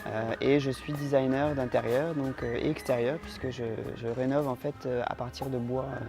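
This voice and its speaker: Homme calme et hésitant, musique en fond. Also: male voice, medium pitch, moderate pace. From France. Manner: calm, hesitant, many filler sounds. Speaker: interior designer, interviewee. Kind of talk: casual interview answers. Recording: music playing underneath.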